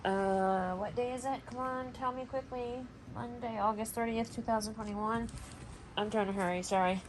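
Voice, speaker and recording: A woman's voice: fairly high pitch, fairly even intonation, moderate pace. Blunt, sweary woman vlogging at home. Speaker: amateur vlogger filming a weather radio alert. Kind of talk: casual chat to camera. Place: a room at home. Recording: faint background noise, home video.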